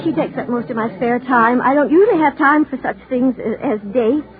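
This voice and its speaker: A woman's voice: high voice, brisk, very noisy, close to an everyday voice. An actress in a 1940s radio drama playing a shy, sentimental single woman, demure and hesitant. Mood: shy, guarded. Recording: tinny vintage radio recording, music behind.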